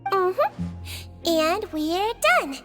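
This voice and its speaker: A woman voicing a gentle, earnest young-sounding head nurse in a video game, caring and instructive. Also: very high-pitched, animated, extremely slow, faint music behind, heavily acted. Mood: pleasant, businesslike. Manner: caring, instructive, matter-of-fact. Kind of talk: video game character acting.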